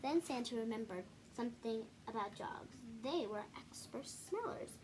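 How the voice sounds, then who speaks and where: A woman hosting a children's read-aloud video, reading a picture book with casual, halting, self-correcting asides to viewers. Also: a little high, lively intonation, a little mellow, a little hiss or hum. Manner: halting, self-correcting, apologetic while showing pages.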